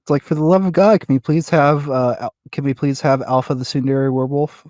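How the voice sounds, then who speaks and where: A man's voice: mid-range voice, steady tone, darkish tone, no room sound. Hesitant man in dry, close sound. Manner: hesitant, halting. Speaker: podcast co-host. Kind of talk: casual podcast chat.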